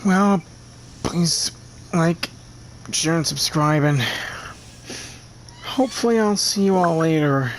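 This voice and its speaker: A man hosting a video channel, talking informally to subscribers in a halting, earnest way. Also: fairly high pitch. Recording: faint background noise.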